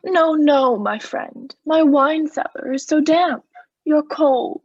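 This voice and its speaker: A young woman playing a coldly vengeful noble who feigns friendship, in a measured, formal, insinuating theatrical radio-play style. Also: high-pitched, a little mellow, no echo, highs cut off. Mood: falsely solicitous. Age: young adult. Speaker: student actor.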